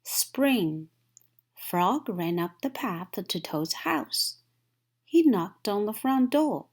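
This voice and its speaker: A woman's voice: mid-range voice, expressive, drawn-out words, a little mellow, husky. A woman narrating a children's story from a book, as a read-aloud. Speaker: storybook reader.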